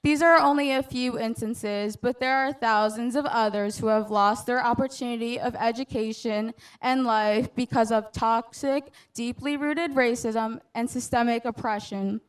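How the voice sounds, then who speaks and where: A young woman graduating from high school, delivering an earnest, prepared commencement speech at a measured pace. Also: steady tone, clear, resonant tone, low-quality recording. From United States. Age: young adult. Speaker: graduating student speaker.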